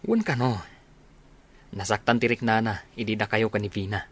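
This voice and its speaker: A man's voice: on the low side, steady tone, normal speed, breathy edge. A man with an Ilocano accent playing a frank young village friend in a comedy radio drama. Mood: frank, teasing.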